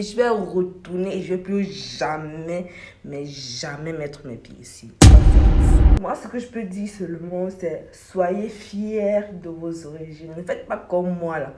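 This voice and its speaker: A woman's voice: on the low side, a little mellow. A young woman talking to camera in a casual vlog while doing her makeup, telling her story in an emphatic, repetitive way. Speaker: beauty vlogger. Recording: echoey room.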